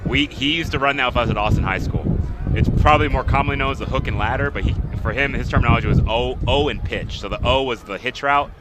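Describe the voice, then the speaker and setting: Male color commentator, mid-pitched, conversational and a little hesitant, clear outdoor noise behind. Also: fast talker. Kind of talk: casual football analysis. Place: outdoors at a high school football game. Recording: noisy outdoor stadium broadcast.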